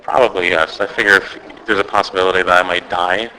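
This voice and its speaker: A man's voice: low-pitched, fairly even intonation, fast, sharp tone, low-quality recording. A man interviewing an actor for a film review site, chatty and enthusiastic, rambling with fillers. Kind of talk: casual press interview. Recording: crowd chatter behind.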